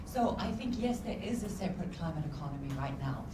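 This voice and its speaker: A woman's voice: fairly low pitch, expressive, quick pace, heavy background noise. Emphatic New woman on a panel. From New Zealand. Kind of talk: panel discussion. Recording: echoey room from far off with loud music.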